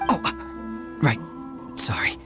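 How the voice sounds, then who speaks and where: An actor playing a wary, gossiping townsman in a video game, hushed and hesitant. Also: slow, over music, lightly acted.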